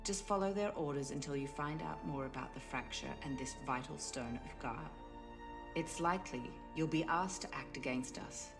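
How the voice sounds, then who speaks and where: A hard, cold leader of a rebel order in a fantasy video game. The delivery is terse and commanding with an edge of threat, over light music. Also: male voice, fairly high pitch, normal speed, creaky. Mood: stern, commanding. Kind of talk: scripted video game dialogue.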